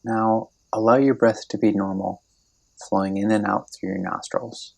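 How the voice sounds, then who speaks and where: A man with a low, very creaky voice guides a meditation. He delivers scripted instructions gently and soothingly. His phrases come unhurried, with long pauses between them. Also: fairly even intonation, low-quality recording.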